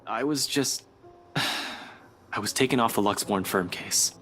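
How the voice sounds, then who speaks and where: A man voicing a hesitant, guilt-ridden young male detective inspector in a visual novel, speaking in a halting, evasive way. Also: on the low side, bright, forceful tone. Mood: hesitant, uneasy. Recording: music behind the voice.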